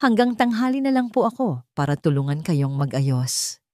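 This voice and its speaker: Narrator woman as worried Filipino nanny, audio story, polite, apologetic, firm, lively pitch, dry sound. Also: moderate pace, no room sound. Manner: deferential.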